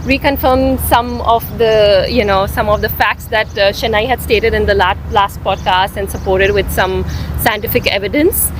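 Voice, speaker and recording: A woman's voice: mid-range voice, fairly even intonation, moderate pace, noisy background. A woman hosting a podcast and introducing a guest, hesitant and full of fillers. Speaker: podcast host.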